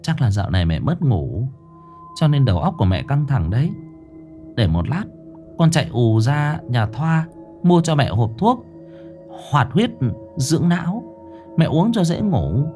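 A man voicing a sensible young adult daughter, who talks briskly and reassuringly. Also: medium pitch, sing-song, mellow, soft background music, pitched above the performer's own voice.

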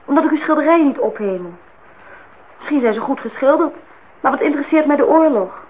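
A woman's voice: high voice, expressive, fast, phone-quality sound. An actress playing a forthright, teasing young woman in a naturalistic radio drama, on a noisy old recording. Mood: teasing, challenging. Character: cool, teasing, independent young woman visitor.

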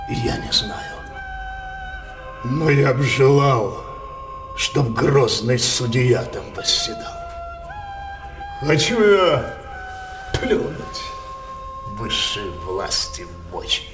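An actor playing a tyrannical, feverishly passionate king in a declamatory radio drama style, with drawn-out words and sudden outbursts. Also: medium pitch, wildly swooping pitch, full character voice. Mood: brooding defiance. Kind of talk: Russian theatrical radio drama acting. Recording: roomy, distant voice over loud music.

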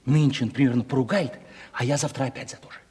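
An actor playing a browbeaten, gloomy yet boastful young merchant's son in a 1970s Russian radio play, with folksy provincial speech. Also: male voice, medium pitch, steady tone, fast talker, a little mellow, husky. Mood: brooding, teasing. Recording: reverberant studio radio play recording.

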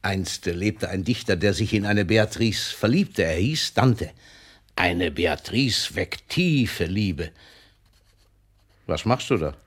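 An actor playing a wry, indulgent elderly poet in a German radio play, with a husky voice and a measured, avuncular manner. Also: male voice, sits low, animated, heavily acted. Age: older.

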